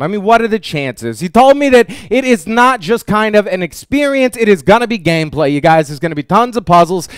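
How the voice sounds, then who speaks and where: A man hosting a casual vlog, telling a story in an animated, exclamatory way with stuttered repetitions. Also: sits high, clean tone, no room sound, clean studio sound. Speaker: YouTube show host.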